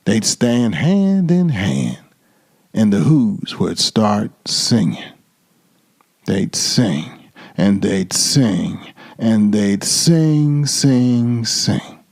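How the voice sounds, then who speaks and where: A man reading a children's storybook dramatically, with theatrical, drawn-out emphasis. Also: lowish voice, big pitch swings, vocal fry, a little mellow.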